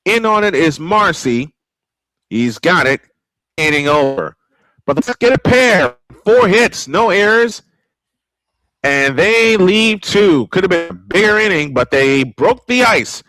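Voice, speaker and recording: A man's voice: high voice, lively intonation, slow, bright, forceful tone, no echo, poor audio. A man calling a baseball game live in an old-school play-by-play style, with short clipped calls and chatty asides.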